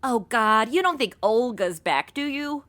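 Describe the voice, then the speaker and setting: A woman's voice: on the high side, lively intonation, a little slow, a bit bright. A woman playing a flippant, ditzy modern young woman in a comedy audio drama, sarcastic and full of exclamations and slang. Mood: anxious, sarcastic.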